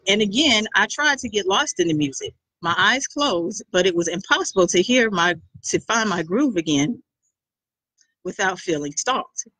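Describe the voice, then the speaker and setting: A woman author reading a chapter of her own romance novel aloud. Her reading is expressive, and her pitch swings widely. The sound is dry and close to the mic. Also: dry recording, poor audio.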